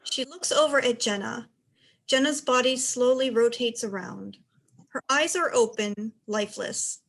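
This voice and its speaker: A woman's voice: on the high side, measured pace, vocal fry, rough recording. A woman narrator reading stage directions aloud as scripted narration.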